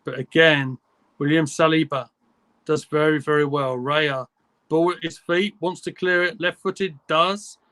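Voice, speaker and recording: A young man giving live play-by-play commentary on a football match for fans, in brisk, clipped calls. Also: a little high, steady tone, fairly bright tone. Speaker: fan commentator.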